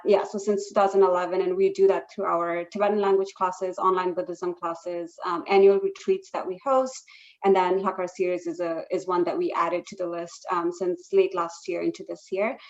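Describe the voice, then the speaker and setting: A woman's voice: on the low side, highs cut off. A woman hosting an online session over a video call, friendly and loose, with many fillers. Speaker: webinar host.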